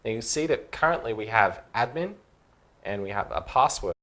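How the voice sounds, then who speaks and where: A man's voice: lowish voice, lively intonation, fairly quick, a bit bright. Casual man giving step-by-step tutorial. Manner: informal, explanatory, checks along with okay. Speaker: presenter of product tutorials.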